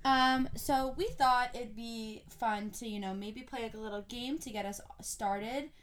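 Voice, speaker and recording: A woman's voice: a little high, unhurried. A woman in her twenties from Long Island, hosting a podcast in casual, rambling chat full of "like" and the odd swear. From Long Island, New York. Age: 20s. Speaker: podcast host.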